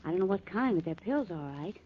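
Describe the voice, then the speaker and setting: A woman's voice: medium pitch, expressive, fast talker, slight background noise, natural voice. An actress playing a timid but observant young female sidekick in a 1940s radio drama. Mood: curious, observant. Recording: muffled 1940s radio broadcast.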